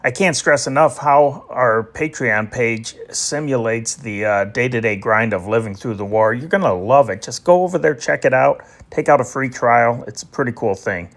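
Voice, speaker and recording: A man's voice: mid-range voice, moderate pace, few pauses, fairly bright tone. Middle-aged American man hosting, conversational. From United States, Chicago area. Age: 50s. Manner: conversational, explanatory. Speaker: host of a vintage radio podcast. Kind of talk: informal scripted introduction with chatty asides.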